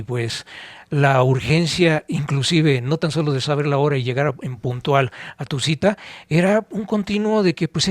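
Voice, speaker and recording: A middle-aged man with a Mexican accent co-hosting a podcast, reminiscing conversationally in a nostalgic, digressive way with halting phrases. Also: on the high side, dry recording. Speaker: podcast co-host.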